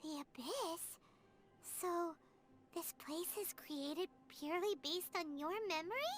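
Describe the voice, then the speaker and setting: A woman's voice: very high voice, slow. A woman voicing a tiny, childlike fairy companion in a video game, inquisitive and wide-eyed. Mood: curious, uneasy.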